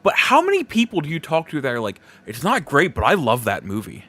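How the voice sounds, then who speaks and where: A man's voice: a little high, wildly swooping pitch, brisk, fairly bright tone. A man hosting a podcast solo, giving opinions in a casual, conversational and emphatic way. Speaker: podcast host.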